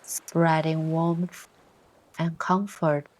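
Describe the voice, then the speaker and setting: A woman narrating a guided meditation in a soothing, gentle way, with long pauses between short instructions. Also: low-pitched, level tone, low-quality recording. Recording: ocean surf sounds behind the voice. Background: ocean waves ambience.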